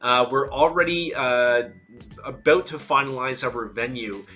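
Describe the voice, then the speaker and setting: A man with a bright, forceful voice and a stuffy nose from a cold talks earnestly to camera about his conference. He stumbles through restarts and fillers. Faint music plays softly behind him. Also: medium pitch, a little slow. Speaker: conference organizer and host. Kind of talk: casual video to camera.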